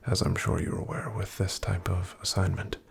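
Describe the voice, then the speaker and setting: A man in an ASMR roleplay voicing a calm, careful paladin travel companion, softly whispering to the listener. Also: low-pitched, moderate pace, gravelly, slight background noise. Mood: calm hushed. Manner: soft, gentle whispering to the listener.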